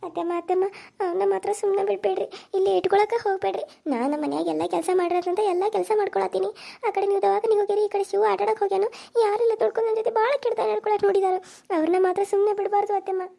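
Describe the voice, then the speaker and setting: A woman's voice: extremely high pitch, steady tone, rapid-fire, vocal fry, dark tone, voice pushed up, full character voice. A woman voicing a frightened young daughter-in-law who speaks in a tearful, pleading North Karnataka way. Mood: urgent pleading. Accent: North Karnataka Kannada.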